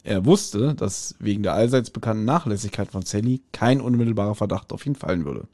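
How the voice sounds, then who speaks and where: Man chatting casually, mellow voice. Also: fairly low pitch, expressive, a little fast. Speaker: podcast co-host. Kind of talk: casual review chat, retelling a plot.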